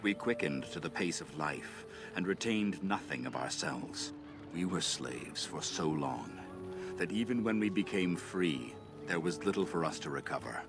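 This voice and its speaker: Grave proud elf elder from a fantasy game, really low voice, music behind him. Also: male voice, lively intonation, gravelly. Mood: mournful. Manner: measured, solemn, formal. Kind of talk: video game dialogue, solemn lore telling. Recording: background music under the voice.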